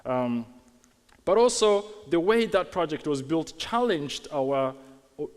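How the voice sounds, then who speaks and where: A man's voice: a little high, lively intonation, takes it slowly. Man speaking in a reverberant hall. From Rwanda. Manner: hesitant, many ums and uhs, wry asides. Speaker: architect giving a conference talk. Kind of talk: conference talk. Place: large hall on stage.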